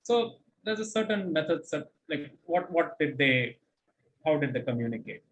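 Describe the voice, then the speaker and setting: A man's voice: on the high side, expressive, moderate pace, dull audio. A man hosting a quiz, reading out questions and commenting on answers in an articulate, encouraging, explanatory way. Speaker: quizmaster and host.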